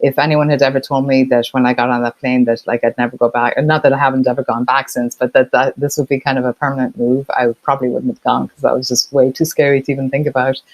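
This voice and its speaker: Low-voiced Irish woman, very dry sound. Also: level tone, fast talker, hardly any pauses, no echo, highs cut off. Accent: Irish with some Boston. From Ireland. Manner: chatty, laughs easily, many fillers. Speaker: guest, partnerships expert. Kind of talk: casual interview chat.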